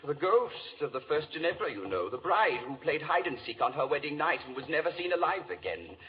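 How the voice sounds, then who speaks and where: A man's voice: on the high side, a little fast, a little hiss or hum. An actor playing a worried, chatty English lord, a father, telling a tale in hesitant 1940s radio drama style. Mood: dramatic recounting. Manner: animated, hesitant storytelling. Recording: dull old radio recording.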